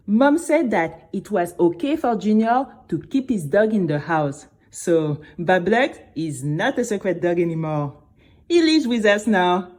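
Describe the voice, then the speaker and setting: A woman playing a chatty, excitable teenage girl who tells her own story in a confiding, playful way for an audio drama. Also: medium pitch.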